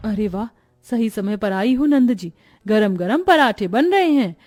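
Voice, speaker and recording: A woman's voice: mid-range voice, expressive, unhurried, a little mellow, faint music behind, close to an everyday voice. A woman voicing a middle-aged mother-in-law who gives commanding orders in a cartoon family story. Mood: bossy. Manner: commanding, matter-of-fact demands. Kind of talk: animated moral story dialogue.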